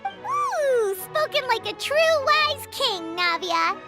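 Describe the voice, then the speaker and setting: A woman voicing a small, curious, cheeky childlike fairy companion in a video game, squeaky and exclamatory. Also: slow, full character voice. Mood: surprised, cheeky. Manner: exclamatory, expressive. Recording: music behind the voice.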